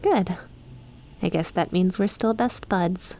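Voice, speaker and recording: A woman's voice: lowish voice, takes it slowly, dark tone, a little hiss or hum, rough recording. A woman playing an affectionate young snake-girl best friend in an ASMR roleplay, teasing and chummy as she talks to the listener. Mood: sincere, chatty.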